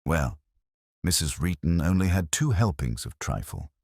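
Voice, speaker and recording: A male narrator acts out an eager sergeant in an audio mystery, puzzled and plain-spoken. The voice swings lively up and down over a low, slightly rough base. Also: moderate pace, dry recording, clean studio sound, full character voice. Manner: plain-spoken, naive questioning. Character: earnest, bewildered, eager male police sergeant.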